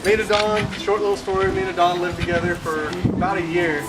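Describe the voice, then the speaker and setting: A man's voice: high-pitched, flat delivery, fast, recorded from a distance, over loud music, low-quality recording. Joking man giving a wedding toast. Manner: casual, joking storyteller. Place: outdoor wedding reception.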